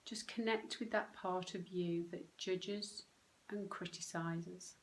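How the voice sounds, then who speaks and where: A woman leading a guided meditation, speaking gently and unhurriedly in short phrases with long pauses. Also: fairly low pitch, fairly even intonation, slight background noise, low-quality recording. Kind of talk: guided meditation, soothing instruction.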